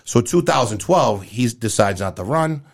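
A man's voice: mid-range voice, animated, moderate pace. A man in his fifties talking candidly and earnestly as a guest in a casual conversational interview. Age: 50s. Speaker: guest, event promoter.

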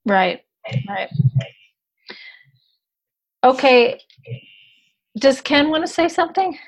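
A woman's voice: high voice, expressive, takes it slowly, rough recording. A woman interviewer asking questions and encouraging her guests with "right, right.". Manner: encouraging, frequent "right, right" and "mm-hmm".

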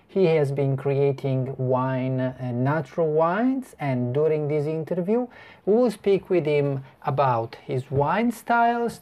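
Female host, very low and warm voice, scripted intro read. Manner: reads a prepared introduction.

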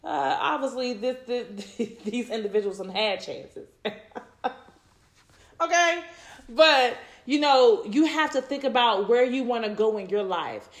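A woman's voice: fairly high pitch, expressive, unhurried. Woman in her thirties, rambling faith talk. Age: 30s. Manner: rambling, repeats words, many "you know"s. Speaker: podcast host. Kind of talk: faith-based personal talk.

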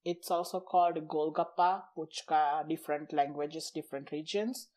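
A man's voice: fairly high pitch, steady tone, normal speed, darkish tone. A man with an Indian accent, a Gujarati native speaker, chatting as a podcast guest and explaining things in short, loose phrases. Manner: lists and explains things in short chunks, somewhat unfinished sentences. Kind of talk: casual chat.

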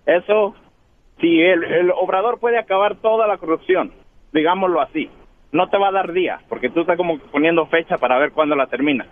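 A man calling in to a radio show by phone, giving opinions casually in a halting, rambling, repetitive way. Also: fairly high pitch. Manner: halting, rambling, repeats himself. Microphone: phone call. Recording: tinny, noisy phone line.

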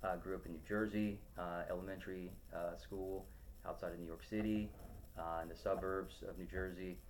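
A man's voice: low-pitched, flat delivery, moderate pace, a little hiss or hum. A middle-aged man from Ohio, a podcast guest answering questions in casual talk, rambling with many ums and restarts. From Ohio, USA. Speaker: podcast guest, loan officer.

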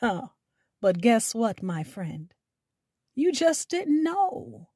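A woman hosting a radio show, speaking in a deliberate, emphatic way with dramatic pauses, as in scripted spoken word. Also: fairly high pitch, big pitch swings, warm tone, slightly rough, dry recording, clean studio sound.

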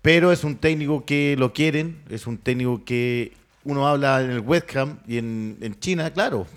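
A man's voice: mid-range voice, moderate pace. Chilean man chiming in on sports talk. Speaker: sports panelist.

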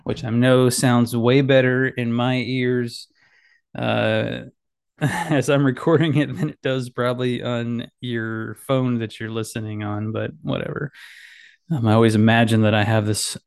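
A man hosting his solo podcast from a small home office on a boom mic, chatting casually in a rambling, self-deprecating way full of fillers and restarts, with a slightly nasal voice. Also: steady tone, unhurried, gravelly, clean tone. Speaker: podcast host. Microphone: boom mic with headphones.

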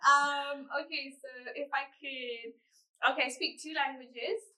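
A woman's voice: sits high, fairly even intonation, slow, pushed and bright. A young woman from Kenya chatting casually and teasingly as she reads out challenge questions. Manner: playful, teasing. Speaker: vlogger asking challenge questions. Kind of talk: casual question-and-answer chat. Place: echoey room. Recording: echoey room.

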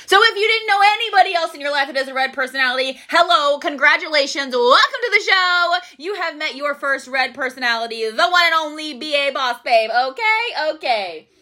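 A woman hosting a solo motivational podcast, talking in an upbeat, emphatic way and often tagging "okay?" on her lines. Her voice is going hoarse from overuse. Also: high voice, expressive, brisk, hardly any pauses, sharp tone. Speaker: podcast host.

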